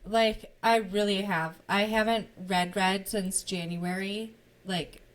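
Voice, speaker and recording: A woman co-hosting a podcast, talking earnestly and eagerly in casual chat, with halting fillers. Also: mid-range voice, steady tone. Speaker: podcast co-host.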